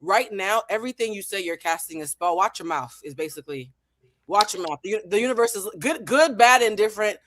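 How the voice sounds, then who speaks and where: A woman's voice: mid-range voice, animated, fairly quick, fairly bright tone, dry recording. A woman co-hosting a casual chat, playful and full of joking asides. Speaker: co-host.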